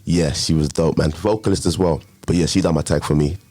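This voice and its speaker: A man's voice: a bass voice, steady tone, brisk, mellow, no room sound. A man with a London accent chatting casually and slangily as an interview guest, a music producer. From London, England. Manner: slangy, informal.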